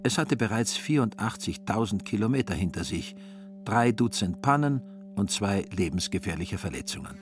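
A man narrating a literary radio play in a wry, deliberate manner with theatrical emphasis. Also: lowish voice, expressive, soft background music.

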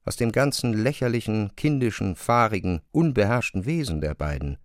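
Narrator for a classic-literature audio drama: man, lowish voice, measured delivery, close dry sound. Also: animated, dry recording.

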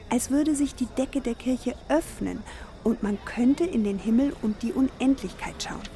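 Actress as high-voiced middle-aged wife, matter-of-fact. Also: female voice, darkish tone, close to an everyday voice. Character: caring middle-aged wife reading aloud from a travel guide. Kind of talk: radio drama acting.

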